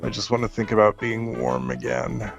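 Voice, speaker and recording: A man's voice: lowish voice, big pitch swings, moderate pace, gravelly, faint music behind. A man hosting a casual movie chat, telling a long story in a rambling way and leaning heavily on "like.". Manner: rambling storyteller, many "like" fillers. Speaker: host.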